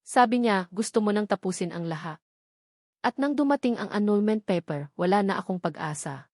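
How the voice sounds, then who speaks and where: A remorseful young mother, voiced by a woman storyteller, pleads apologetically in a romance audio story. The voice is middle in pitch and recorded very dry. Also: moderate pace, darkish tone, no room sound, lightly acted. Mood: remorseful pleading. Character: remorseful young mother, heartbroken estranged wife.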